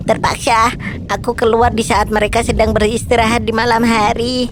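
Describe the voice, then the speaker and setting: A woman's voice: a little high, level tone, moderate pace, natural voice. A woman voicing a young female jellyfish in a children's cartoon, vain and easily panicked, with whiny exclamations. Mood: worried, sulky. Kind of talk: animated children's story voice acting. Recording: music behind the voice. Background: loud background music.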